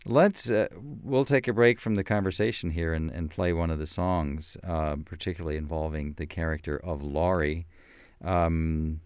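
Male radio host, relaxed chat, studio mic, resonant, deep. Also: moderate pace, clean tone, no room sound. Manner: relaxed, with frequent fillers and restarts. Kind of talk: casual radio interview. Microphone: studio microphone.

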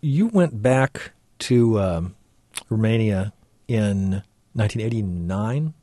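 A man hosting a call-in radio show, asking gentle, hesitant questions. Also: on the low side, expressive, slow pace, no echo, studio quality. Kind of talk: radio interview.

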